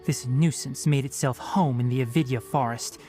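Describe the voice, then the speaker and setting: An actor voicing a brisk, decisive young forest ranger who speaks curtly and impatiently. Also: male voice, medium pitch, steady tone, dark tone, close to an everyday voice. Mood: serious. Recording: game audio with background music.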